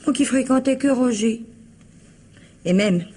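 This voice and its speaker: An actress playing a naive, indignant, chatty young housemaid in a French radio mystery play, with colloquial, gushing, plaintive delivery. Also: female voice, a little high, measured pace, mellow, slightly rough.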